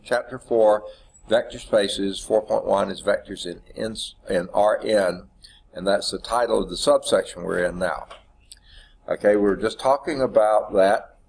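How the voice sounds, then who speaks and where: A man's voice: on the low side, gravelly, a little hiss or hum. Stuffed-up man giving a math lecture. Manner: conversational, repeats points, says okay often. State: stuffed up from sneezing. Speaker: math instructor. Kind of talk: classroom lecture. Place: classroom.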